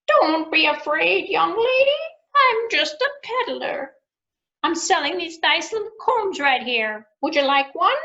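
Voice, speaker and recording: A woman's voice: very high-pitched, sing-song, unhurried, sharp tone, pitched above the performer's own voice, exaggerated voice. A woman voicing a wicked queen disguised as an old peddler woman, sly and wheedling. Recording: dull lo-fi recording.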